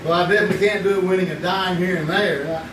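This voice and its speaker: A man's voice: high-pitched, steady tone, quick pace, background noise, lightly acted. A man playing a distracted young suitor. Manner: hesitant, distracted. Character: distracted young man in love, saving for a car. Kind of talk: live old-time radio play acting. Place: live theater stage. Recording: roomy live theater recording from a distance.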